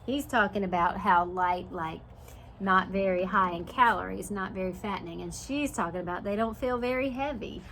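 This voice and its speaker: A woman's voice: mid-range voice, normal speed, a bit bright. Woman reading a storybook to preschoolers, noisy recording. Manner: simple explanatory asides, dramatized story reading. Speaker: preschool teacher reading aloud. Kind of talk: storybook read-aloud with explanations for young children.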